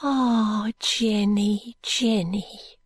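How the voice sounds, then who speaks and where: Woman doing a hushed grieving poor woman. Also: medium pitch, fairly even intonation, extremely slow, no echo, pitched above the performer's own voice. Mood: consoling. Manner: hushed, breathy, consoling. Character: grieving poor woman comforting her friend.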